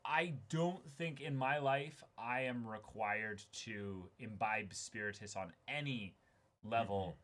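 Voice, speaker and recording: A man's voice: fairly low pitch, slow pace, a bit bright. A man co-hosting a stream in casual chat, speaking agreeably and often repeating short affirmations.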